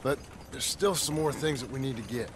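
An actor playing a rough, soft-hearted American cowboy turned rancher in a video game, with a gravelly Western drawl. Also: male voice, medium pitch, a little fast, slight background noise, lightly acted. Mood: easygoing, tender. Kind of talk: video game cutscene acting. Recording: music behind.

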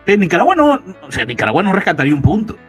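A man's voice: sits high, wildly swooping pitch, rapid-fire, faint music behind. A man debating soccer on a sports radio show, insistent and argumentative, firing rhetorical questions. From Central America.

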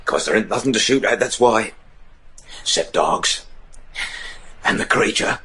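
Old rustic gamekeeper, rural english accent, raspy lowish, plain spoken, radio drama. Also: male voice, animated, a little slow, pushed and bright, heavily acted. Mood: grim, wary. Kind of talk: gothic radio drama acting.

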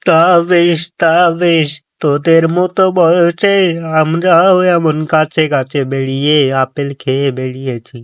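A man's voice: a little high, steady tone, unhurried, smooth, no echo, clean studio sound, lightly acted. Man as a miserly old cartoon villager. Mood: indulgent. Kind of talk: children's cartoon fairy-tale acting.

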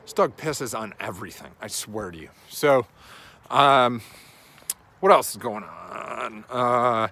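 Man in his thirties, medium pitch, casual vlog monologue, rambling coach, outdoors, handheld phone. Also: slow pace, pushed and bright, slightly rough. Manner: rambling, thinking aloud while walking. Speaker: strength and conditioning coach. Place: outdoors on a suburban residential street. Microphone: phone held at arm's length.

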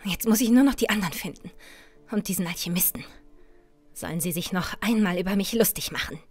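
An actress playing a determined, sharp-tongued young adventuress in an audio drama, often muttering to herself. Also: female voice, medium pitch, a little fast, natural voice. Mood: grumbling, defiant. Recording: music under the voice.

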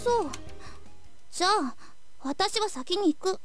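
Very high-pitched, curt anime schoolgirl. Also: lively intonation, moderate pace. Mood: curt, impatient. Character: curt, impatient teenage schoolgirl. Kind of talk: anime visual novel voice acting. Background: game music.

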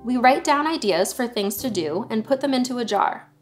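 A woman's voice: fairly high pitch, expressive, moderate pace, faint music behind, natural voice. A woman voicing an encouraging mother in a children's storybook, explaining things helpfully.

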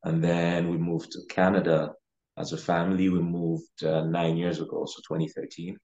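A man's voice: low-pitched, completely flat delivery, normal speed, a little mellow. A man in his thirties from Uganda, chatting casually as a podcast guest with hesitant, you-know-filled phrasing over a video call. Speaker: podcast guest, real estate investor. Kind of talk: casual interview chat. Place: living room, on a video call. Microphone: video call, sitting back from camera. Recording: dull, lo-fi video call audio.

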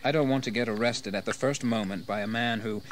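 An actor playing a bold, ambitious colonial rebel leader in a history radio drama, speaking in a proud, formal, declamatory manner. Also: male voice, medium pitch, fairly quick, a little hiss or hum, lightly acted. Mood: cool resolve. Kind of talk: dramatized history audio acting.

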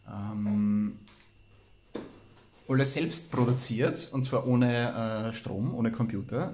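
A man's voice: medium pitch, warm tone, a little hiss or hum. A man chatting casually and playfully as co-host of a reading show, rambling as he goes. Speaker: co-host who reads old science fiction aloud. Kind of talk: casual podcast chat.

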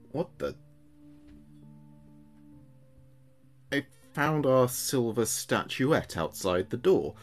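An actor playing an uneasy, earnest late-night local radio DJ in a horror audio drama, speaking haltingly. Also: male voice, mid-range voice. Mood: uneasy, apologetic. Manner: halting, hesitant, addressing listeners directly.

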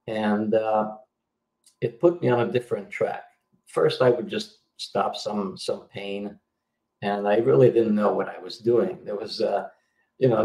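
A man's voice: on the low side, vocal fry, a little mellow, roomy sound, rough recording. A middle-aged man from Yugoslavia, a healer guest explaining his work over a video call, with many fillers and restarts. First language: Hungarian. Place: indoor home room.

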